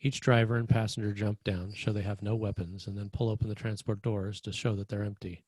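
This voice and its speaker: A man's voice: on the low side, flat delivery, fairly quick, darkish tone, no room sound. A man reading screenplay stage directions as scripted narration.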